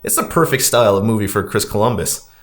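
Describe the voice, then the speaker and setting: A man with a fairly low voice hosts a casual movie podcast. He talks in a loose, rambling, conversational way, as if among colleagues. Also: animated, fast talker. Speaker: podcast host.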